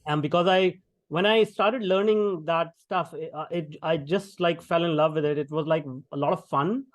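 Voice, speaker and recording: A man's voice: fairly high pitch, normal speed, dry recording. Indian man, dry close sound. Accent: Indian English. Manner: conversational, many fillers and restarts. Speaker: guest, tech founder. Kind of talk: podcast interview.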